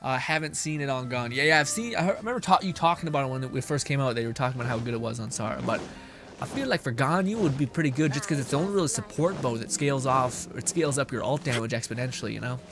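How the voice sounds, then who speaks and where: A young man streaming a video game, giving rambling, excitable commentary full of fillers, swearing and chat replies. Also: medium pitch, fast, music in the background. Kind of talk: casual gaming stream commentary.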